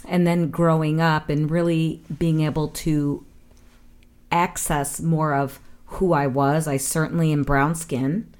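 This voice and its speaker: Low-voiced woman in her 60s from Cleveland, reflective panelist. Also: flat delivery, a little mellow. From Cleveland, Ohio, USA. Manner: reflective, measured, personal. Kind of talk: casual panel discussion.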